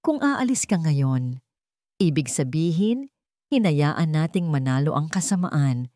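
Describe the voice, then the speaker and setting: A woman's voice: sits low, lively intonation, measured pace, mellow, no room sound. Woman plays determined Filipino male doctor in an audio drama story: protective, intense, pleading, dry sound. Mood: quiet insistence.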